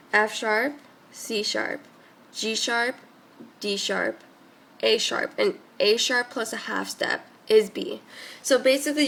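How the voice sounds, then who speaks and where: Congested young woman with a gravelly voice. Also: mid-range voice, a bit bright, a little hiss or hum. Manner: chatty, many "um" and "like" fillers. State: congested from a cold. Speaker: music student tutor. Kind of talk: casual tutorial.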